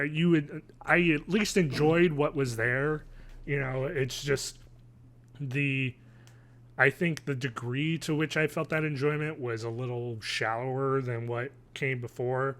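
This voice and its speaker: A man's voice: fairly high pitch, fairly bright tone. A man in his thirties co-hosting a casual podcast review, talking in a hesitant, meandering way and often correcting himself. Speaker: podcast co-host. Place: living room at home, indoors. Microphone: video call, wearing headphones.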